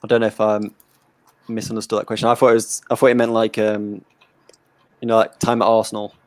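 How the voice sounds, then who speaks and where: A man hosting a live watch-along, chatting casually, reading out viewer comments and giving shout-outs. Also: on the low side, flat delivery, fast talker, dry recording. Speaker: host. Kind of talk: casual chat.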